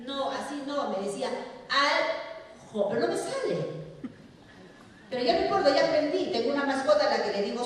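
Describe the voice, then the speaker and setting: A woman's voice: on the high side, slight background noise. Peruvian woman lecturing in an echoey hall. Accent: Peruvian Spanish. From Peru. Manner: animated, asks the audience questions and answers them herself. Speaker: teacher trainer giving a talk to teachers. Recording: echoey hall recorded from far off.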